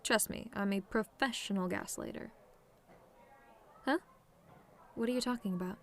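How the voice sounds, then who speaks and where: A woman acts out a tomboyish female demon with a medium-pitched voice. She is sardonic and relaxed, needling the listener with casual taunts, as in an audio roleplay. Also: normal speed, a little hiss or hum, close to an everyday voice. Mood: teasing, taunting. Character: sardonic tomboy female demon, relaxed, teasing, secretly fond of simple pleasures. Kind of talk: scripted roleplay monologue to a listener.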